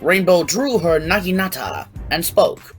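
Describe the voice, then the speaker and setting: A woman's voice: lowish voice, expressive, unhurried. A woman narrating a scripted fan fiction story as its storyteller. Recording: faint music behind the voice.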